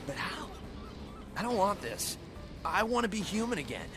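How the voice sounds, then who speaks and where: An actor playing a naive, newly turned vampire in a video game, hesitant and pleading. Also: male voice, sits high, very animated, normal speed, music in the background. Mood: distraught. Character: frightened naive newly turned male vampire, desperate and whiny.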